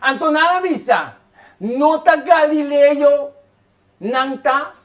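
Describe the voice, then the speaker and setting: A man's voice: very high-pitched, unhurried, a bit bright. A man preacher delivering a fervent sermon, repeating phrases as he builds his point.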